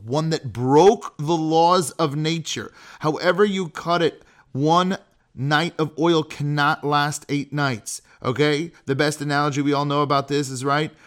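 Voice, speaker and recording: Higher-voiced man teaching with emphatic questions. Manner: emphatic, asks rhetorical questions and checks with "right?" and "okay?". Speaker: religious teacher giving a lecture. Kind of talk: animated Torah lecture, conversational.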